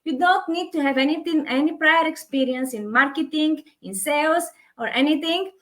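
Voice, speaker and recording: A woman with a Hungarian accent who runs an online business, talking in a halting way with many fillers and restarts. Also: high voice. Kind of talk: casual conversation.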